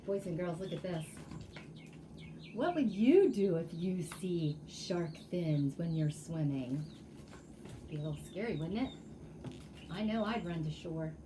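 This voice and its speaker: A woman's voice: fairly low pitch, expressive, measured pace, pauses often, noisy background. A woman storytime reader reading aloud expressively to children, with chatty asides and questions to her listeners.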